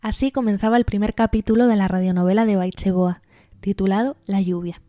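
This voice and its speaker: A woman's voice: medium pitch, fairly even intonation, fairly quick, warm tone, no echo, studio quality. A woman with an accent from Spain narrating a scripted podcast as host and art therapist. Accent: Spanish from Spain.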